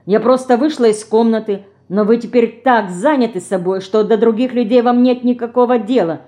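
A woman's voice: medium pitch, lively intonation, measured pace, a little mellow, close to an everyday voice. A woman voicing a prim young older sister, indignant and scolding, in a storybook reading. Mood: offended.

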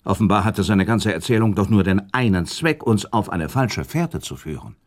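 A man's voice: on the low side, lively intonation, normal speed, lightly acted. A man playing a noble, sceptical prince in a German radio play, arguing insistently and reasoning with rhetorical questions. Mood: firm, insistent.

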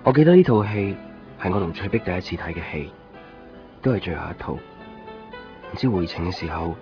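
An actor playing a lonely, wistful young student in a radio drama, with a Hong Kong accent and a hushed, reflective delivery. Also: male voice, low voice, close to an everyday voice. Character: wistful lonely young man, anxious lovelorn student traveller. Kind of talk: radio drama monologue and dialogue. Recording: muffled radio recording with prominent music.

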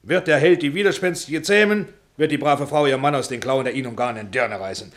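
An actor in a German radio play playing a bossy, self-satisfied Hollywood producer with a brisk, jovially pushy manner. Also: male voice, mid-range voice, close to an everyday voice. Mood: brisk, self-assured. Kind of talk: German radio drama acting.

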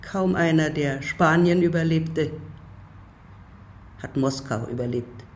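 An actor playing a bitter old civil war veteran who speaks in measured, emphatic statements in a radio drama. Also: male voice, fairly high pitch, a little hiss or hum. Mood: grave, bitter.

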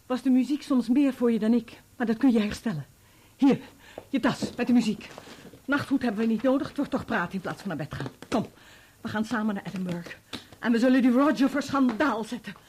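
An actress playing a remorseful, unfaithful wife in a Dutch radio play, speaking earnestly and persuasively. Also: female voice, a little high, brisk, darkish tone, a little hiss or hum, natural voice. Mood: persuasive, resolute. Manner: earnest, emotional, persuasive pleading. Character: unfaithful, remorseful young wife, pleading and resolute.

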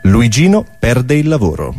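A man performing scripted absurdist theatre with a mock-solemn, deliberate, declamatory delivery. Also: mid-range voice, huge pitch swings, a little mellow. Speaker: stage performer.

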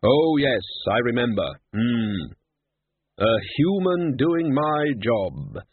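A man voicing a towering, haughty, immortal Death in a slow, measured, solemn way, on a tinny lo-fi recording. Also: medium pitch, very animated, slightly rough, no echo, full character voice.

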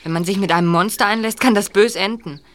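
A woman playing a cautious, loyal and excitable boy in a children's audio drama. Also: medium pitch, quick pace, close to an everyday voice. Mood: uneasy.

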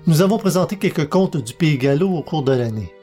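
A man's voice: fairly high pitch, animated, fairly quick, dark tone. A man presenting a podcast, reading out a scripted year-in-review rundown as an announcer. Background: faint music.

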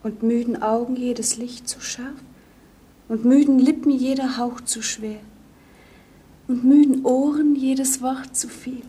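A sorrowful, dreamy young princess in a poetic radio drama. The voice is extremely breathy and almost whispered, soft and mellow, moving very slowly with fragile wistfulness. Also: female voice, sits high, steady tone, exaggerated voice. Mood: weary, wistful. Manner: wistful, hushed, lyrical.

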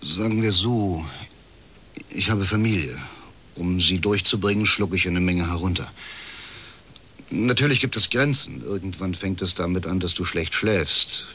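Husky, low-voiced disillusioned male official. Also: unhurried, bright, forceful tone, full character voice. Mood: weary, confiding. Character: disillusioned, chain-smoking male privatisation official, uneasy whistleblower. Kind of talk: German radio crime drama acting.

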